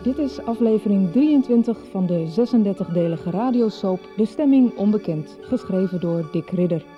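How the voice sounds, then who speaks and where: A woman's voice: mid-range voice, animated, normal speed, music in the background. A woman reading a scripted recap as narrator of a radio soap. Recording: slightly dull radio recording.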